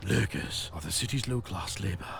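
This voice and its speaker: Gravelly, oily crime boss. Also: male voice, lowish voice, expressive, moderate pace, background noise. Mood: smug, scheming. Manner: unctuous, drawling, savoring words, ends with "eh?". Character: oily, scheming crime boss with gloating airs. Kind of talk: video game cutscene acting.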